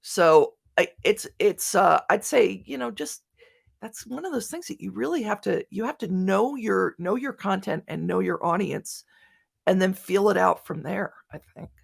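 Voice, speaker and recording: A woman with a Philadelphia American accent answering questions as a guest speaker, animated and halting, often restarting her sentences. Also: medium pitch, creaky, breathy edge. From Philadelphia, United States. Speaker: guest speaker, podcast writer. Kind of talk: conference question-and-answer talk.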